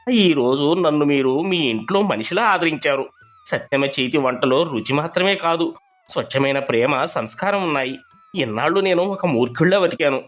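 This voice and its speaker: An actor playing a proud, older rich villager in an animated moral story, with a dramatic, exclamatory manner. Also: mid-range voice, natural voice.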